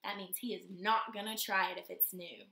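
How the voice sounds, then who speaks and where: A woman's voice: mid-range voice, normal speed, creaky, roomy sound. A young woman reading a picture book aloud to children, stopping to ask them questions. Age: young adult. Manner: animated, asks children questions between pages. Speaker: YMCA storytime reader. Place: room in a house, indoors.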